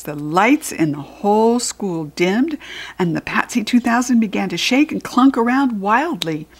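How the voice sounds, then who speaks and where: An American woman reads a story aloud for children. Her voice sits at a medium pitch, and she tells the tale in an animated way. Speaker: children's book reader.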